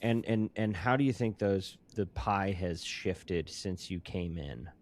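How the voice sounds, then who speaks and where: A man hosting a conversational industry interview, speaking haltingly and repeating words as he works toward his questions. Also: low voice. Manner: halting, stammering word repeats while forming questions. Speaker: podcast host.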